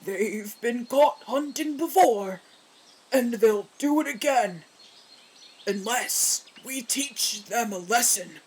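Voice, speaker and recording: A woman's voice: on the high side, lively intonation, takes it slowly, darkish tone. A woman playing a grumbling, vengeful elderly cat in an amateur audio drama. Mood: grim resentment. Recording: background noise, outdoor birdsong ambience.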